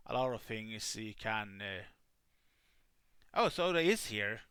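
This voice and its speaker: A man hosting a live stream, helping players make characters and talking in a halting way with many fillers. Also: on the low side, clean tone, no echo, studio quality. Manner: says he has a calming voice. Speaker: streamer running a game. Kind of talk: casual live-stream chat.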